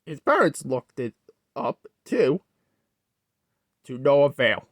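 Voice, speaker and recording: A storyteller narrating a creepy tale in a halting way, often stumbling and rewording. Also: medium pitch, sing-song, no room sound. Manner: halting, corrects own wording mid-sentence. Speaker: host, storyteller.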